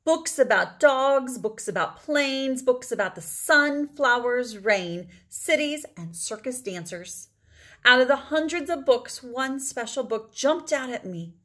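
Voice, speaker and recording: Woman reading a kids book out loud, slightly high voice, deliberate with big pauses. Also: expressive. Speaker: read-aloud storyteller. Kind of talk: expressive picture book read-aloud for children.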